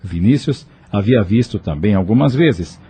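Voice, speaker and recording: A man's voice: on the low side, animated, normal speed, warm tone, breathy edge. A man with a Brazilian accent giving scripted narration for an audio novel.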